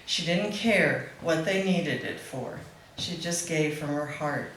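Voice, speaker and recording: A woman's voice: low-pitched, slow pace, fairly bright tone. A woman reading a prepared eulogy aloud at a memorial celebration. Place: indoor event room with a live gathering. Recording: roomy echoing hall, faint background noise.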